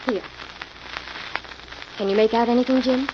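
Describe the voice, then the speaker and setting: An actress as an eager, high-voiced detective's assistant. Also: female voice, fairly even intonation, moderate pace, close to an everyday voice. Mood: puzzled, intrigued. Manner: earnest, inquisitive. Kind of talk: 1940s radio mystery acting. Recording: muffled, noisy vintage radio recording.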